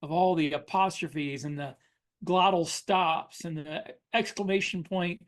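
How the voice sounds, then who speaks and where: Male, middle-aged, high-set voice, casual podcast co-host, explanatory storyteller. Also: fairly even intonation, measured pace. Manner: anecdotal, explanatory. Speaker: podcast co-host and science fiction author. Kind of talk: casual conversational discussion.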